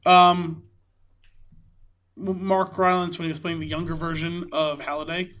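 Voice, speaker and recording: A man co-hosting a podcast, chatting casually about films in an animated way, often saying "like". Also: on the high side, a little slow. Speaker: podcast co-host. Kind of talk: casual film review chat.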